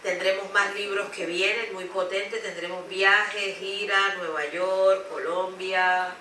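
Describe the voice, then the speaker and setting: A woman with a bright, forward voice at a middle pitch, upbeat as she lists events and projects. She is heard from a distance in a reverberant room with faint noise behind her. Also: steady tone, takes it slowly. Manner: upbeat, rattles off plans in lists. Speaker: journalist and cultural organizer. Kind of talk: panel conversation.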